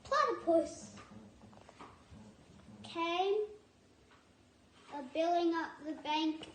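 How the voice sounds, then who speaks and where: A woman reading a picture book aloud in a halting, deliberate way, stopping to add personal asides. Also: extremely high pitch. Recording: echoey room with faint noise.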